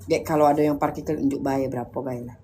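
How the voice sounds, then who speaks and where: A woman's voice: a bass voice, fairly even intonation, quick pace, dark tone, a little hiss or hum. A woman from Indonesia with a Sundanese background chatting casually on a live stream, playfully switching between regional ways of speaking. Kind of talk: casual chat.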